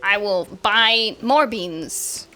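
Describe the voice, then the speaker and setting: A woman streaming a game with casual, playful live reactions, teasing and expressive. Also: medium pitch, drawn-out words. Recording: game music behind.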